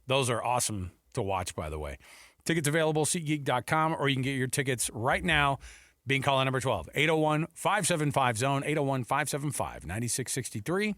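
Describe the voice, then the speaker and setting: A man hosts sports radio in a casual, bantering way. His mid-pitched voice moves around a lot as he teases and jokes. The sound is close and dry. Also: sing-song, brisk, fairly bright tone, no echo. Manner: playful, teasing.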